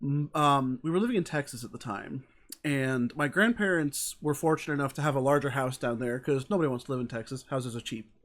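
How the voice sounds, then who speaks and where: A man's voice: mid-range voice, brisk, a bit bright. A man hosting an interview over a video call, casual and joking, with wry self-deprecating asides. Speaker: interview host. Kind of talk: casual chat.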